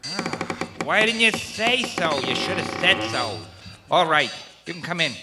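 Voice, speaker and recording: A man's voice: fairly high pitch, very animated, unhurried, loud music behind the voice. A man playing a grudging, blunt dwarf craftsman in a live audio comedy, with an offhand, repetitive way of talking. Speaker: fabricator at a dwarf workshop. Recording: live stage recording with clear noise.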